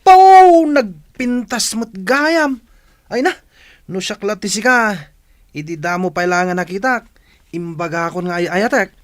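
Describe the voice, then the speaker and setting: A man's voice: high-pitched, animated, unhurried, no echo, studio quality. A man playing a cheeky, boastful young village schemer in a radio comedy drama, teasing and exclaiming. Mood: excited, boastful.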